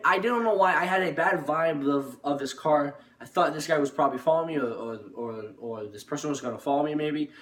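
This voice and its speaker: Halting young man in an echoey room. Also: fairly high pitch, fairly bright tone. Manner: halting, rambling, repeats words. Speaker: online video storyteller. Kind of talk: casual unscripted personal storytelling. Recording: echoey room, distant microphone.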